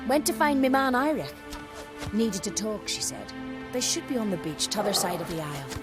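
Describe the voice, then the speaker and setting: An actress playing a teasing, chatty middle-aged village wife in a video game. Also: female voice, mid-range voice, animated, moderate pace, over music, lightly acted.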